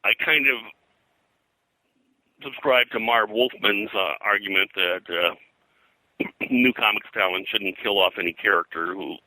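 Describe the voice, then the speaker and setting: An older man reminiscing as an interview guest in a halting, digressive way, often clearing his throat. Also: lowish voice, bright, forceful tone, no room sound, low-quality recording. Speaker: interview guest, comics historian.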